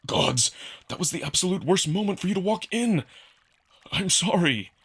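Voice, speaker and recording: Man voicing a proud, husky wolf alien. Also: high voice, expressive, moderate pace, a bit bright, voice pushed up, heavily acted. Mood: apologetic. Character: proud male wolf alien, earnest, defensive, exasperated lover. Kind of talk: dramatic visual novel voice acting.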